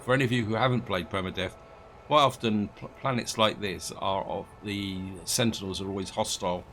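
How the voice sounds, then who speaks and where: A man casually commenting on his gameplay as he plays, thinking aloud and correcting himself, his voice slightly croaky from allergies. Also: lowish voice, steady tone, fairly quick, fairly bright tone, slight background noise. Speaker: gaming video host.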